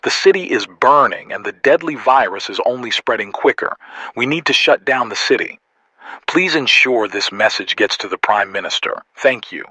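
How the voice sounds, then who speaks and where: A man's voice: medium pitch, lively intonation, moderate pace, bright, forceful tone, husky, dry recording, low-quality recording. A man playing a grim, disapproving official delivering a scripted crisis message in a stern, formal way. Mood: grim, resolute. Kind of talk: scripted emergency scenario message.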